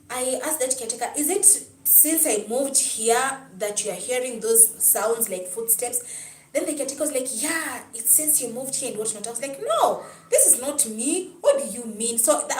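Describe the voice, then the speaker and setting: Young Kenyan woman, chatty livestream host, slightly high voice, roomy and distant sound with light background hum. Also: lively intonation, moderate pace. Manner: chatty, answers chat comments, storytelling. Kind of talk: casual livestream talk with viewers.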